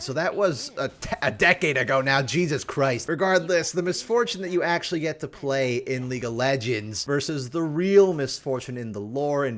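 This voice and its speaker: A man giving casual, opinionated video commentary on a game, with animated asides and some casual swearing. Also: mid-range voice. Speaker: video game champion reviewer.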